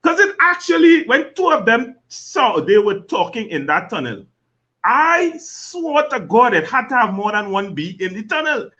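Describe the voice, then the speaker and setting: A man's voice: very high voice, big pitch swings, normal speed, pushed and bright. A man telling jokes as a guest on a live talk show, laughing often and saying "you know" a lot. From Trinidad. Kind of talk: casual chat, comic storytelling. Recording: dull lo-fi livestream audio.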